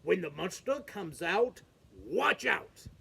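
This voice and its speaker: A woman's voice: on the low side, expressive, slow, gravelly, sharp tone, a little hiss or hum, pitched below the performer's own voice, exaggerated voice. A woman voicing a bold male prospector giving orders in a storybook read-aloud.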